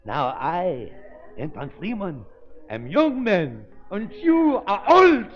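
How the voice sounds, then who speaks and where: An actor playing a gloating mad scientist in 1930s radio drama, speaking broken English with a foreign accent in a theatrical way. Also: male voice, sits high, very animated, slow, soft background music, full character voice. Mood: triumphant, excited. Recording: muffled vintage 1930s radio recording.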